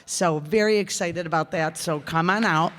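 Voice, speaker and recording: American lady rambling excitedly through show announcements, lowish voice, big echoey hall. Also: normal speed, a little hiss or hum. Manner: chatty, rambling, enthusiastic. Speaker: director and actor in a radio theater group. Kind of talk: informal live audience announcements. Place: live performance hall. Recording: reverberant hall.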